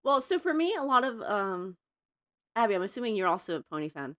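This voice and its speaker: Podcast guest, woman from Mexico, young adult, bright clear voice, animated, chatty. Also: mid-range voice, fairly quick. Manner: animated, thinks aloud with many fillers. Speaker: fan fiction writer, podcast guest. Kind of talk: casual podcast chat.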